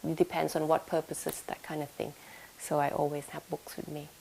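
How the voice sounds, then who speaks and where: A woman's voice: sits low, little pitch movement, normal speed, gravelly, a little mellow, breathy edge, low-quality recording. A young woman from Thailand with a Thai accent, answering interview questions with frequent fillers and restarts. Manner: hesitant, many fillers and restarts. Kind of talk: casual oral history interview. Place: home room in London.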